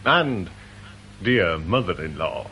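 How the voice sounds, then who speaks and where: An actor playing a British police inspector in a radio drama, formal and probing, with a dryly polite, stubborn manner. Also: male voice, low-pitched, sing-song, slow, sharp tone, heavily acted. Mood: guarded, irritated. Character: dry, formal police inspector, wry and stubborn under pressure. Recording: radio recording with faint noise.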